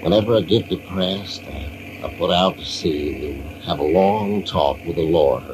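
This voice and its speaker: Low-voiced, guilt-ridden old seaman. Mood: sorrowful. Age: older. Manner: halting, repeats words. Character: guilt-ridden old seaman, grieving father, brooding and gentle. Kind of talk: old-time radio drama acting. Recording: noisy old radio recording.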